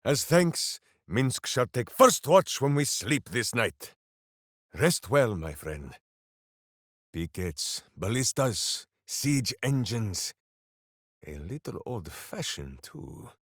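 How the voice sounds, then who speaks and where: Casting: hearty game berserker, earnest and grandiose, unhurried delivery with big pitch swings. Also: male voice, big pitch swings, no room sound, studio quality, full character voice. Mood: subdued, solemn. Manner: grandiose, speaks of himself in third person. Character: boisterous, big-hearted male berserker warrior, earnest and simple-minded. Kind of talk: fantasy video game voice acting.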